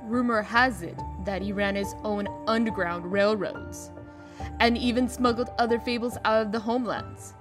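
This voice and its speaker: A woman acts a composed, official heroine in a comic-book drama. She speaks at an ordinary female pitch in a measured, businesslike way. Music is clearly heard behind her. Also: natural voice. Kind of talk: comic dub voice acting.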